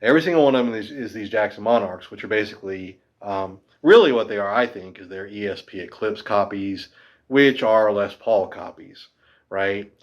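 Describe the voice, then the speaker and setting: A man's voice: lowish voice, moderate pace, dull audio. A man in his fifties talking casually to camera about guitars, chatty and rambling with a self-deprecating streak. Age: 50s. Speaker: guitar teacher.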